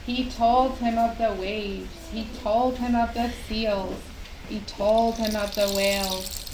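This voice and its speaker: Female storyteller for a children's puppet show, higher voice, very measured delivery, live room sound. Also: steady tone. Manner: unhurried, simple phrasing with long pauses. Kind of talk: slow storytelling for young children. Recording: roomy live recording with faint noise.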